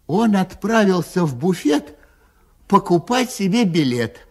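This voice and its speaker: A man reading a children's rhyming poem aloud in a sing-song, lively way. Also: a little high, breathy edge. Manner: lively, sing-song delivery with a recurring refrain. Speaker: narrator.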